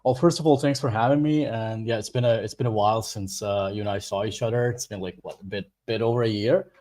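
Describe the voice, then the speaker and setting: A man from Bosnia and Herzegovina, an English speaker, talking as an interview guest in a relaxed way, often restarting his sentences. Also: fairly low pitch. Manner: relaxed, laughs easily, halting restarts. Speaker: guest expert. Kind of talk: casual interview.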